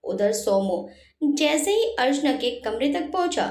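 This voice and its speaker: A woman narrating a scripted family story in an expressive storytelling style. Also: sits high, measured pace. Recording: roomy echo on the voice.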